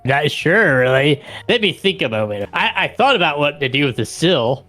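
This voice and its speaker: A man's voice: on the high side, sing-song, normal speed, bright, forceful tone, soft background music. A man playing a tabletop roleplaying game, chatting casually as he works through ideas.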